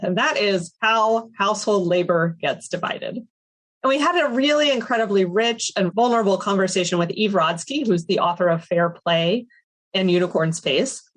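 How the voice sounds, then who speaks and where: A woman co-hosts a podcast with an upbeat, chatty manner. She has a cold and speaks while getting over it. Her pitch sits in a typical middle range. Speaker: podcast co-host. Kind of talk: casual podcast hosting.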